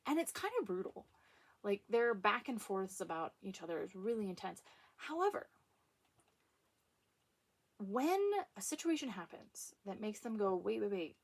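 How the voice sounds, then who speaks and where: A woman giving casual, opinionated commentary on literature in an emphatic way, with dramatic pauses. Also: medium pitch, husky.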